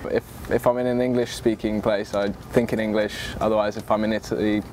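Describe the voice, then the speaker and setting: A young man born in Belgium, answering calmly and briefly in an interview. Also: lowish voice, brisk, slight background noise.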